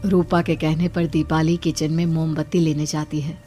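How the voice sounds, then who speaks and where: A woman's voice: low voice, flat delivery, moderate pace, dark tone, faint music behind. A woman giving scripted narration for a cartoon story.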